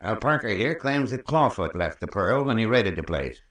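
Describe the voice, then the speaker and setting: An actor playing a folksy, patient, long-winded country doctor with a rural American accent in an old-time radio drama. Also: male voice, on the low side, big pitch swings, fairly bright tone. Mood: patient recounting. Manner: folksy, unhurried, patient storytelling. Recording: roomy, distant old radio recording.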